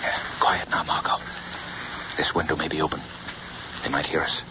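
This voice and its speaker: An actor playing a calm, determined male hero detective in a 1930s radio drama, steady and commanding, on a muffled broadcast with loud hiss. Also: extremely low pitch, moderate pace.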